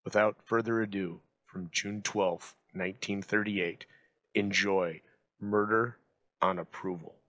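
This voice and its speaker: A man hosting and reading a scripted introduction in a measured, deliberate way. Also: fairly low pitch, steady tone, fairly bright tone, slight background noise, rough recording. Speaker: channel host.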